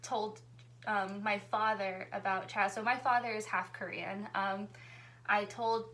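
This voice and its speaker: A woman's voice: mid-range voice, flat delivery, unhurried, fairly bright tone. Chatty young-sounding woman hosting a vlog. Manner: chatty, easygoing, laughs often. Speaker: vlogger hosting a couple tag video with her fiancé. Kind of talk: casual chat.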